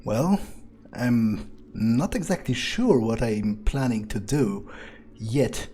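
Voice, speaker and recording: Slow, mellow man musing aloud. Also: mid-range voice, expressive, breathy edge. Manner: hesitant musing, dry understatement, casual swearing. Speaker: video game player and host. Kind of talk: in-character game commentary, thinking aloud.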